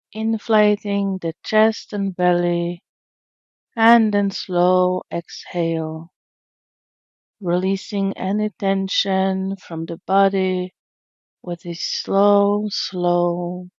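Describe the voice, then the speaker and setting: A woman leading a guided meditation in a gentle, soothing, unhurried way with long pauses, in a slightly dull recording. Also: medium pitch, fairly even intonation, no room sound. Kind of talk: guided meditation, slow calm narration.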